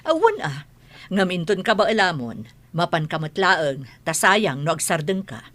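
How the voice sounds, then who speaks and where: Animated, doting mother played by an actress. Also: fairly low pitch, normal speed. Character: doting, hopeful, fussing mother of schoolboy. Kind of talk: radio drama acting. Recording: music under the voice.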